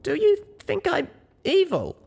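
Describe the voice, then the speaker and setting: A man voicing an anxious, earnest young female pony in an audiobook story, squeaky when flustered. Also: huge pitch swings, a little slow, vocal fry, a little mellow, exaggerated voice. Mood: worried.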